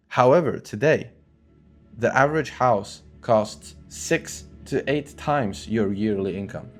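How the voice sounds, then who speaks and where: An earnest young man in his twenties speaks in a slightly low voice, loose and unscripted, full of "like" and restarts. Faint guitar music plays softly behind him. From Syria, raised in Sweden. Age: 20s. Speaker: online entrepreneur. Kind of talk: talking-head motivational vlog.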